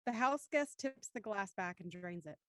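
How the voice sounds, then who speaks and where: A woman's voice: medium pitch, animated, normal speed, a bit bright, no room sound, studio quality, close to an everyday voice. A woman narrating scene directions for an audio drama in a scripted storytelling style. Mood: matter-of-fact.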